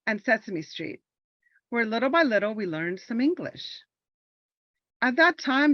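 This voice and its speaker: Woman veteran from Los Angeles, Spanish first language, earnest reflective monologue, slightly high voice. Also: no echo, muffled recording. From Los Angeles, California. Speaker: army veteran performing a monologue. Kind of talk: personal monologue performance.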